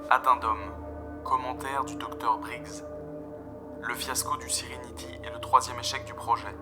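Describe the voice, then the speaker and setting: Young man playing cold male director, monotone delivery, clinical, formal, sci-fi audio drama, music behind. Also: mid-range voice, natural voice. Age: young adult. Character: cold, authoritative male project director doctor dictating a commentary.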